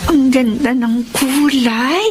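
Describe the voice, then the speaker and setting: A woman's voice: high voice, fairly even intonation, slow, over music. A woman playing an eager, deferential young office employee in a Filipino radio drama, with a Filipino accent. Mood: pleased, curious.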